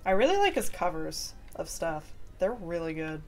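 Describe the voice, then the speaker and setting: A woman streaming a game from home, chatting with viewers in a relaxed, giggly way. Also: on the low side, moderate pace. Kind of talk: casual chat while gaming. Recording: faint keyboard typing behind.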